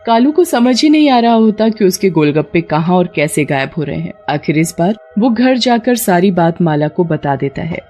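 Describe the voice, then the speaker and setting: A woman's voice: fairly low pitch, very animated, moderate pace, few pauses, warm tone, soft background music. A woman storyteller reading scripted narration for a tale.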